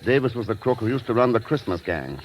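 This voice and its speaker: An actor playing a cool, shrewd secret agent in an old radio drama, calm, dry and understated. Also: male voice, mid-range voice, quick pace, natural voice. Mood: assured. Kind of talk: 1940s radio drama acting. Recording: noisy old radio recording.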